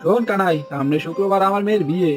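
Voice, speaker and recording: A man's voice: high-pitched, rapid-fire, close to an everyday voice. Guy doing bossy authoritative village chief in a cartoon, direct talk, some music under it. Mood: commanding. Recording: music bed behind the voice.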